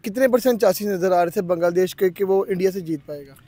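A man's voice: high voice, fast talker, mellow, no room sound. A young man from Pakistan hosting a casual street interview outdoors with a handheld mic, often chiming in to agree. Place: outdoors by a park road.

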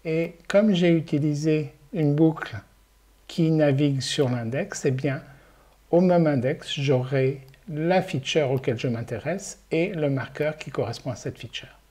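Older guy walking thru code step by step, soft dark husky voice. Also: a little high, a little slow. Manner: calm, step-by-step explaining. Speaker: programming teacher. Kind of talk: screen-recorded coding tutorial.

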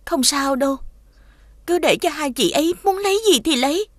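A woman playing a gentle, devoted young princess in a cartoon fairy tale, tender, emotional and plaintive. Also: high voice, animated, moderate pace, no echo, studio quality. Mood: anxious, forgiving.

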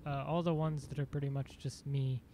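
A man streaming a game, chatting casually in a dry, monotone voice, rambling with fillers and self-corrections. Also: medium pitch, fairly quick, slight background noise. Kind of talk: casual live-stream chat.

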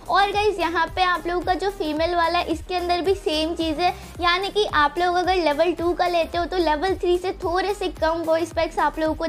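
A young woman with an Indian accent, hosting a gaming channel and explaining a game event step by step to viewers. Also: extremely high pitch. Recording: voice-over with music underneath. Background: background music.

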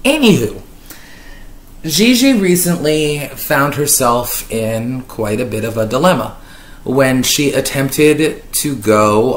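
Chatty woman vlogger in an echoey room. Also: very deep voice. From Lebanon. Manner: chatty, wordy, dryly ironic, lots of fillers. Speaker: YouTuber. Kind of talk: casual commentary vlog. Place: living room, on a sofa. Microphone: webcam at desk distance.

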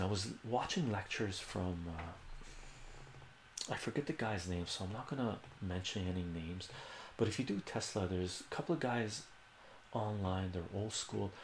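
Deep gravelly older man streaming math chat. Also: lively intonation, measured pace, roomy sound, slight background noise. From Armenia. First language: Armenian. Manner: rambling, self-correcting, answers chat questions aloud. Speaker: livestream host. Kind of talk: casual livestream chat answering viewers. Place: home room with bookshelves.

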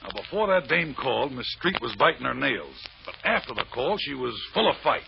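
Gravelly, blunt radio cop. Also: male voice, medium pitch, very animated, brisk. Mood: shrewd. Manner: blunt, tough-guy slang. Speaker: actor. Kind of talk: old radio drama acting. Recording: muffled, faintly noisy old radio recording.

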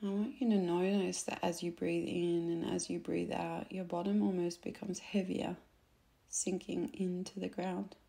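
A woman guiding a meditation in a soft, gentle, unhurried way, with phrase endings that turn breathy. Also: on the low side, flat delivery. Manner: gentle, unhurried, instructing softly with pauses. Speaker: meditation guide. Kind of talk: soothing guided meditation narration.

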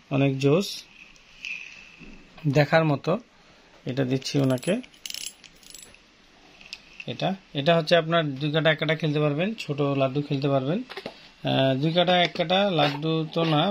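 A man with a Bangladeshi accent presents products informally in a fairly high voice. He explains as a seller does, often repeating a phrase. It is a handheld phone recording in a small shop, with light background noise. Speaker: fishing tackle seller. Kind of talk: informal product showcase for customers. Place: small indoor fishing tackle shop. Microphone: handheld phone near the speaker. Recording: noisy phone recording with clicks.

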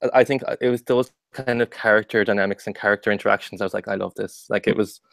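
A man's voice: lowish voice, fairly even intonation, quick pace, gravelly, fairly bright tone, no echo, clean studio sound. A man, chatting about books in an easygoing, slightly hesitant way. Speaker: book club panelist. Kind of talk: casual book discussion. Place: home room, indoors. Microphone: earphones on a video call.

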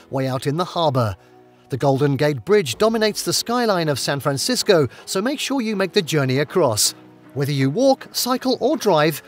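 A man with a high, bright-sounding voice reads a scripted travel narration. His pitch swings widely as he delivers an upbeat countdown, with soft music playing faintly underneath.

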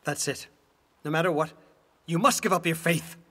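Man acting a forceful, bitter husband. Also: a little high, normal speed, breathy edge. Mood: bitter, demanding. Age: middle-aged. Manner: forceful, reproachful. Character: bitter middle-aged husband and father, demanding. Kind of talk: stage drama acting, English dub. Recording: reverberant stage hall.

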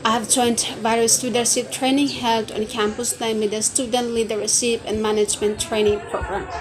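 A woman's voice: on the high side, level tone, normal speed, crowd noise. A young woman in her twenties, a native Indonesian speaker with an Indonesian accent, presenting herself for a video CV in a careful, rehearsed, list-like way, recorded on a lapel mic in an open-air wooden pavilion. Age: 20s. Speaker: job-seeking student. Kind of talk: recited self-presentation for a video CV.

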